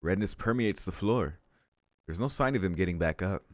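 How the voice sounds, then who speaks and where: Low-voiced man reading a story. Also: animated, normal speed, no echo, studio quality. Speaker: let's-play host reading aloud. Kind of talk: narrating a visual novel aloud.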